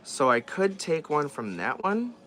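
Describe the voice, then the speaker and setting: A man's voice: fairly high pitch, sing-song, unhurried, a bit bright, soft background music. Man thinking aloud over a repair. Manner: thinks aloud, trailing off and restarting. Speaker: vlogger repairing dolls. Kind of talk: casual chat while working.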